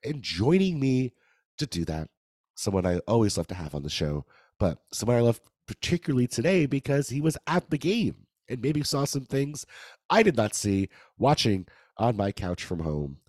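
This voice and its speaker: A man hosting a sports podcast, his voice raspy and a little hoarse, with a playful, laughing manner. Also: mid-range voice, sing-song, moderate pace, darkish tone, no room sound. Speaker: podcast host. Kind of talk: casual sports podcast chat.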